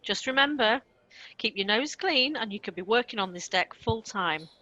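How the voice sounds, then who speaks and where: A woman playing a sharp-tongued, bossy but protective chambermaid in a comic radio play. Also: fairly high pitch, animated, moderate pace, dull audio. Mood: wry, bossy.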